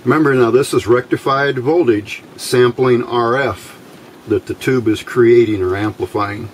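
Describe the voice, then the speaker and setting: A man giving an unscripted hands-on electronics demonstration in a measured, explanatory way, pausing between short phrases. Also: mid-range voice. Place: indoors at a workbench. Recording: steady background room noise.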